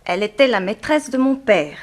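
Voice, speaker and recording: A woman's voice: a little high, expressive, normal speed. An actress playing a grave, formal, composed young woman in mourning in a 1960s French radio drama. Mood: pointed. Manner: formal, composed, pointed questioning.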